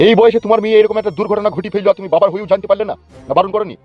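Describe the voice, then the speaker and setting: A man's voice: high-pitched, steady tone, rapid-fire, lo-fi sound, soft background music, natural voice. A man voicing an arrogant, short-tempered village politician in a comic cartoon, blunt and scolding, with a West Bengal accent. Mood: stern. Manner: self-important, scolding, blunt.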